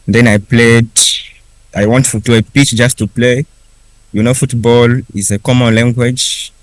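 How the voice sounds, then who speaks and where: Slow-spoken man, native Kiswahili speaker. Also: mid-range voice, fairly even intonation, no echo, low-quality recording. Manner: unhurried, with frequent restarts and pauses. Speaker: refugee speaker at a conference. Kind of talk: personal story told slowly.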